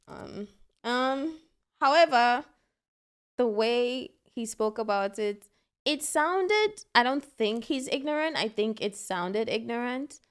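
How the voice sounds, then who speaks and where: A woman from Ghana, a musician guest in a casual interview, thoughtful and measured. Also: on the high side, expressive, clear, resonant tone.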